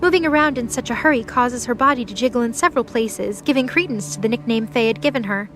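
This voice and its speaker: Woman reading story narration, voice on the high side, music playing clearly behind her. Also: fast, a bit bright. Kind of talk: scripted light novel narration.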